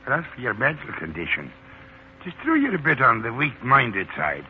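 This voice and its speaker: An actor playing a sly, dignified Irish leprechaun posing as a manservant, wordy and mock-formal, in 1940s radio style. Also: male voice, mid-range voice, huge pitch swings, a little fast, a little hiss or hum. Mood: dignified, wry. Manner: wordy, mock-formal, flustered when cornered. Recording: tinny old 1948 radio broadcast, music.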